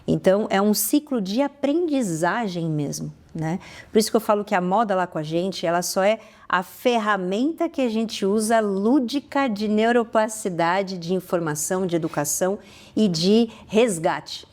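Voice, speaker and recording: A woman's voice: medium pitch, moderate pace, darkish tone. A woman with a Brazilian Portuguese accent, a podcast guest telling stories earnestly, with frequent restarts and sudden rises in emphasis. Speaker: guest, founder of a social fashion project. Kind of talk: conversational podcast interview.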